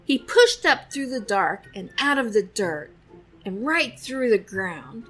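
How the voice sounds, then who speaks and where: A woman reading a children's storybook aloud in an expressive, deliberate storytelling style, close on a studio microphone. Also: a little high, slightly rough. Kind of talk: expressive read-aloud narration. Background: faint music.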